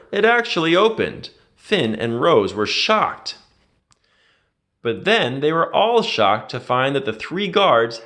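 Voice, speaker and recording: A man narrates a children's storybook with a very lively, swooping intonation. His voice sits at a medium pitch. He leans into the drama with emphatic delivery, as in an expressive read-aloud.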